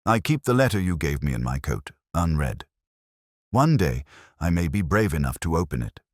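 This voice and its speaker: A male narrator reads as a secretive Victorian woman giving solemn thanks in an audiobook. The voice is very deep, with expressive swings in pitch. The delivery is formal and deliberate, and the sound is dry. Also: no echo, full character voice. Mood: solemn gratitude. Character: grateful, secretive Victorian woman writing a farewell letter.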